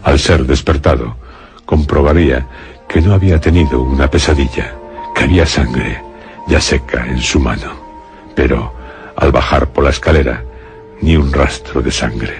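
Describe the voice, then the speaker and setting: A man with a Castilian Spanish accent narrating a suspense radio drama in a grave, measured storytelling manner. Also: very deep voice, soft background music.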